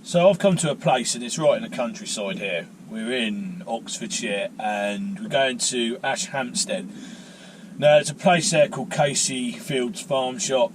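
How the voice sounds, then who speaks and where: A man's voice: medium pitch, unhurried, background noise. A man, hosting a casual vlog and chatting in a rambling, self-mocking way. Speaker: vlogger. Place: outdoors and in a car on a rainy day.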